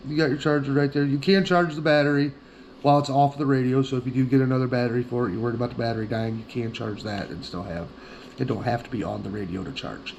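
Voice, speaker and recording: A man's voice: mid-range voice, fairly even intonation, fast talker, creaky, darkish tone, dull audio. Plain-spoken man, noisy background. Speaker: product reviewer. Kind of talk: casual hands-on product review.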